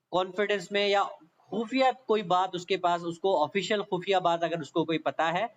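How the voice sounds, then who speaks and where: A man's voice: high voice, muffled recording. A man with a Pakistani accent giving an explanatory law lecture, reading aloud and then explaining, repeating points and often checking that listeners follow. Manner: repetitive, checks understanding often.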